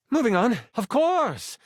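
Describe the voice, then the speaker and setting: A man's voice: very high-pitched, no echo, clean studio sound, heavily acted. An actor playing an enthusiastic, theatrical male game master who narrates a fantasy tabletop adventure in a video game. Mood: upbeat, friendly. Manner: expressive, theatrical storyteller.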